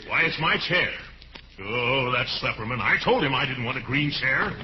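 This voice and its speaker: An actor playing a pompous, jovial but easily exasperated middle-aged uncle in a 1940s radio comedy. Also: male voice, medium pitch, animated, normal speed, close to an everyday voice. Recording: muffled, noisy old radio recording.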